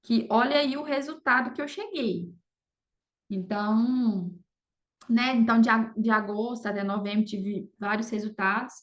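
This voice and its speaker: A woman's voice: a little high, normal speed, muffled recording. A Brazilian woman talking casually as an interview guest, often adding little check-in tags to her sentences. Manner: conversational, frequent "sabe" and "entendeu" tags. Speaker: podcast guest, affiliate marketer.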